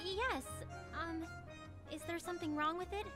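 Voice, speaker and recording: A woman playing an earnest, excitable teenage novice alchemist in an anime game, hesitant and easily startled. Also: very high voice, moderate pace. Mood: polite, cheerful. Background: game music.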